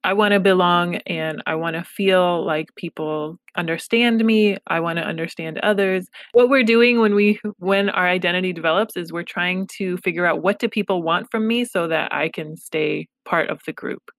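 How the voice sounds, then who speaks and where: A woman therapist speaks openly in a relaxed interview, reflective and quick to laugh at herself. Her voice sits a touch low. The sound is close and dry, with no room around it. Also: no room sound. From Los Angeles, United States. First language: Pashto. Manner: candid, reflective, self-deprecating humor. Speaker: therapist, guest. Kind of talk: casual conversational interview.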